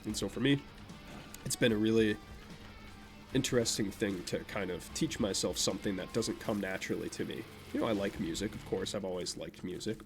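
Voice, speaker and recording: A young man with a naturally nasal voice, rambling in casual gameplay commentary and chatting offhandedly about his life. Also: sits low. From Vermont, USA. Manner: rambling, self-deprecating, offhand. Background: game music.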